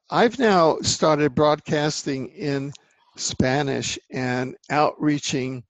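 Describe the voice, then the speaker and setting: A man talking earnestly in a casual conversation, halting and rambling as he explains things. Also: medium pitch, no echo. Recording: dull lo-fi dry sound.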